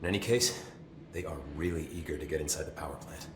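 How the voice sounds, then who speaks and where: An actor playing a chatty, nervy, loyal maintenance security chief in a video game, rambling informally with wry swearing. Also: male voice, extremely low pitch, fast, reverberant, noisy background. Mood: wry, candid.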